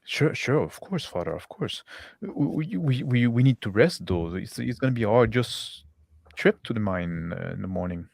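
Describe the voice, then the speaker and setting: Man as an anxious, gravelly, devout villager. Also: lowish voice, animated, normal speed, darkish tone, no echo. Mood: anxious, deferential. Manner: stammering, eager to please.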